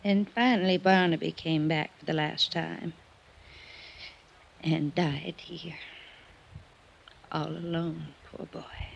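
Frail older woman, weary, sentimental, gravelly, radio mystery serial, slightly noisy vintage recording. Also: sits low, fairly even intonation, slow pace, pauses often, dull audio, full character voice. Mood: weary, nostalgic. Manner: wistful, lingering, sentimental delivery. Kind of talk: old-time radio drama acting.

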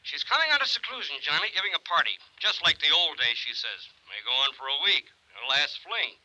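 An actor playing a brisk, worried insurance company man in an old-time radio drama. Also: fairly high pitch, very animated, pushed and bright, exaggerated voice, low-quality recording. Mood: worried, urgent. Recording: vintage radio recording.

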